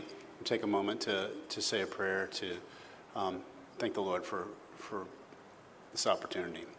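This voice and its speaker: A man with a low voice leads an earnest opening prayer, stumbling and repeating words. His voice rings in a reverberant hall, with faint room noise behind it. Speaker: board member leading prayer. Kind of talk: spoken prayer.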